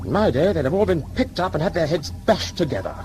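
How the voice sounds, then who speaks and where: A man's voice: on the high side, big pitch swings, fast. A man acting in an audio drama as the governor of a convict crew, with a folksy, pompous, lecturing manner. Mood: stern, lecturing. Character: gruff male governor of a convict scavenger crew, musing and condescending. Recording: music under the dialogue.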